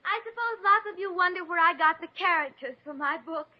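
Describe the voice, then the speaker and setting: A woman's voice: very high voice, expressive, fairly quick, very noisy. An actress playing a naive, eager, sweet young woman writer in a 1950s radio comedy, wide-eyed and earnest. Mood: pleased. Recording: dull vintage radio recording.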